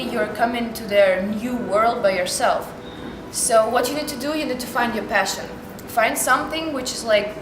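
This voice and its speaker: A woman's voice: mid-range voice, echoey room. A young woman from Ukraine with a Ukrainian accent, a university student talking earnestly in an interview testimonial. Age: young adult. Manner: earnest, reflective, with frequent "like" fillers.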